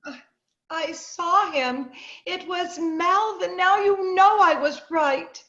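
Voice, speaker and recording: A woman playing an excitable, credulous widow with melodramatic delivery in an old-time radio drama, on muffled call audio. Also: very high-pitched, slow pace, exaggerated voice. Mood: shaken. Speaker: amateur radio drama player.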